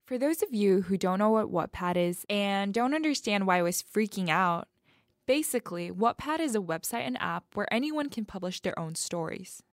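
A woman's voice: medium pitch, lively intonation, normal speed, no room sound. A young woman hosting a podcast with scripted, confiding and playfully dramatic personal narration. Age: young adult. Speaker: podcast host.

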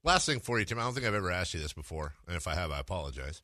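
A man's voice: deep voice, big pitch swings, racing, sharp tone, dry recording, clean studio sound. A man hosting a sports radio show, putting conversational questions to a guest.

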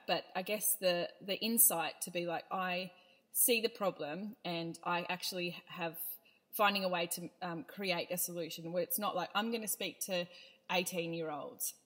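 A woman with a slightly low voice talks as a podcast host. Her style is casual and chatty, with an effusive, teasing, informal way of talking. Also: moderate pace, a bit bright. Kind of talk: casual chat.

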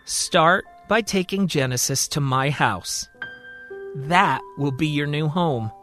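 A man's voice: on the high side, takes it slowly, fairly bright tone. Kindly male mentor, played by a male storyteller, generous, assured, instructive, audio story, music behind. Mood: encouraging. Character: kindly, generous male mentor handing over his legacy.

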